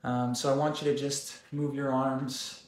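A man in his thirties hosting a live wellness stream, calm and gently guiding, with slow deliberate phrasing. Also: medium pitch, reverberant. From South Africa, raised in Canada. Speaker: wellness host. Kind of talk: live-stream coaching chat. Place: room indoors.

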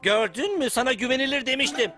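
A man voicing a brash, enterprising male bug in a dubbed cartoon. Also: extremely high pitch. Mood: cocky. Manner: cocky, brisk, argumentative. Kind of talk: cartoon dub acting. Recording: music behind the voice.

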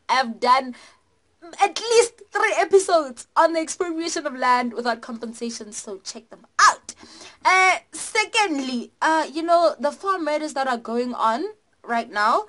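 A young woman with a South African accent, giving informal, satirical political commentary to camera in an animated, emphatic, conversational way. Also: on the high side. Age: young adult. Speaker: host of a political commentary show. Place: small indoor room, plain dark wall.

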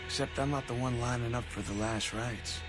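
Man playing a brooding mob hitman over loud music. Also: medium pitch, steady tone, fairly quick, close to an everyday voice. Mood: dark resolve. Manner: intimate, brooding, streetwise monologue. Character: brooding young Italian-American mafia hitman, bitter, doomed. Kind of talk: video game narration monologue.

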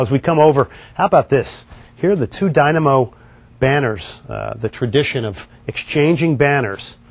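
Upbeat man giving a tour. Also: fairly high pitch. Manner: upbeat, chatty, pointing things out as he walks. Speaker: sports TV host. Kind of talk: walk-through tour presenting. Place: indoor locker room and hallways. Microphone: lapel mic.

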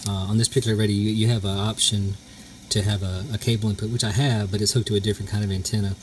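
A middle-aged man with a fairly low voice explains things casually, pausing often as he goes. He is recorded on a handheld camera with faint background noise. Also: little pitch movement. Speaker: homestead vlogger. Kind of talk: casual hands-on demonstration. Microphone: handheld camera, speaker behind it.

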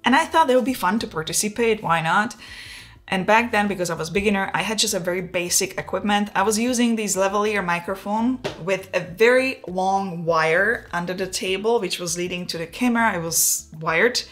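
A woman in her forties speaks English with a Czech accent in an easy, chatty vlog manner. Her voice sits at a middle pitch. She is close on a lapel mic in a home studio, with soft music underneath. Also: brisk. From Czech Republic. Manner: relaxed, chatty, self-deprecating, gestures with her hands. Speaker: photography YouTuber. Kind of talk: casual talking-head vlog. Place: home studio room, indoors. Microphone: wireless lapel mic.

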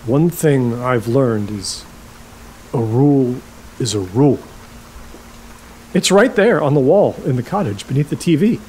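An actor playing a stubborn, halting older man, a reclusive rental host, in an audio drama. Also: mid-range voice, lively intonation, a little mellow, breathy edge, poor audio. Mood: fussy, stubborn. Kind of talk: naturalistic audio drama acting.

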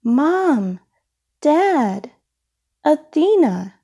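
A woman voicing a dramatic little rabbit prince from a storybook, with drawn-out exclamations. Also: sits high, sing-song, dark tone, pitched above the performer's own voice, heavily acted. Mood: desperate shouting.

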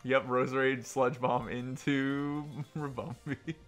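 A man's voice: mid-range voice, flat delivery, slow, a bit bright, faint music behind. A man hosting casual game commentary with joking, teasing banter. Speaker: gaming show host.

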